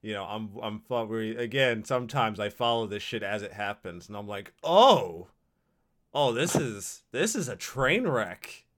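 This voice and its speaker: A man in his thirties hosting a casual podcast, ranting with lots of swearing and sudden loud outbursts. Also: lowish voice, unhurried. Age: 30s. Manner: profane, animated rants with sudden loud outbursts. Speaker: podcast host.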